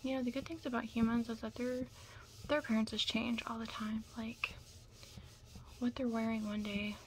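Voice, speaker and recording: A young woman doing an ASMR roleplay in whispers and soft talk, chatting casually and reassuringly close to the mic. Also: a little high, steady tone, moderate pace, a little hiss or hum, low-quality recording. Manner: casual, chatty, reassuring makeup artist patter.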